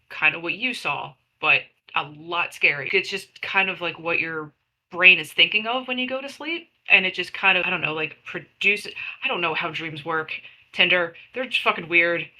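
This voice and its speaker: A woman's voice: lowish voice, moderate pace, sharp tone, poor audio. A woman playing a blunt, exasperated friend in a tabletop game, stammering through casual explanations. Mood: exasperated, explaining.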